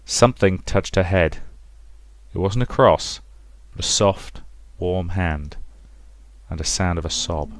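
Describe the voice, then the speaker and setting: Low-pitched man narrating a story. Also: takes it slowly, no room sound. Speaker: let's play host, narrator. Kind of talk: dramatic story narration of a visual novel. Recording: music behind the voice.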